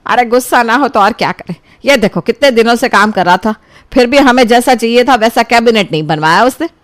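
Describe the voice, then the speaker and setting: A woman's voice: a little high, lively intonation, a little fast, dry recording, clean studio sound, natural voice. A woman voicing a fussy, demanding but generous mother in a storybook tale, exclaiming and bossy. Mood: irritated. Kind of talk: animated storybook dialogue.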